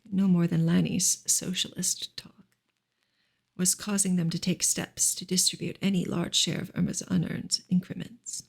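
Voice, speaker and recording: A woman reading a novel aloud in a soft, hushed, breathy ASMR style, often slipping into a whisper. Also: on the low side. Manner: hushed, gentle delivery.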